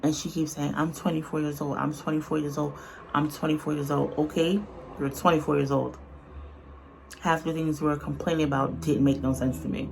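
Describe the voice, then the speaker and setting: A woman's voice: low-pitched, flat delivery, fairly quick, vocal fry, slight background noise, rough recording. A woman giving casual, unscripted reaction commentary in a blunt, colloquial, earnest way. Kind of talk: casual, unscripted opinion talk.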